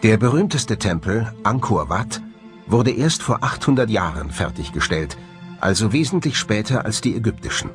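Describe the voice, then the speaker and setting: A man presenting a documentary in scripted narration, with measured, rhetorical, emphatic phrasing. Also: fairly low pitch, sing-song, music in the background.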